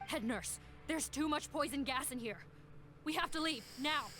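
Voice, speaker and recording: A woman's voice: sits high, normal speed, pushed and bright, a little hiss or hum. A woman voicing a dutiful female guard officer in a video game, formal and earnest. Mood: urgent.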